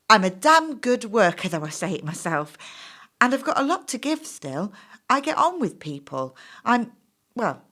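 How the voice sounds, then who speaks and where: An actress playing an anxious, defensive job applicant in her thirties in a stage play, hesitant, apologetic and quick to justify herself. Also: medium pitch, fairly bright tone.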